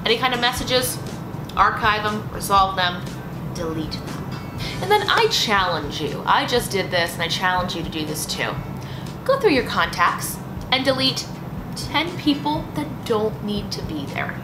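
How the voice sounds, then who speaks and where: A young woman in her twenties from the United States giving casual, lively advice to camera in a small indoor room, with punchy little asides. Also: mid-range voice, unhurried. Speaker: lifestyle vlogger. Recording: roomy with background music.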